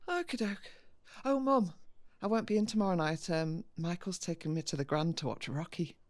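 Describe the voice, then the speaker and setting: A woman's voice: on the low side, lively intonation, normal speed, darkish tone, natural voice. An actress playing a young woman in an audio drama, a granddaughter who narrates and talks with her family. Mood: breezy. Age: young adult. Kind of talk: first-person narration and dialogue in audio drama.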